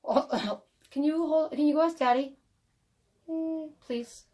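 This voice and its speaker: A woman reading a children's story aloud in an expressive storytelling style. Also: high-pitched, slow pace.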